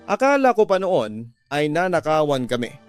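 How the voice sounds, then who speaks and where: A man's voice: fairly high pitch, very animated, normal speed, smooth. A man with a Filipino accent giving scripted first-person narration for a radio drama. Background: background music.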